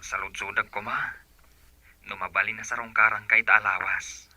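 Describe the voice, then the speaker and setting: Sharp-toned, polite ex-boyfriend. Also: male voice, lowish voice, steady tone, normal speed, dry recording. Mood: courteous. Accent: Ilocano. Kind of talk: Ilocano radio drama acting.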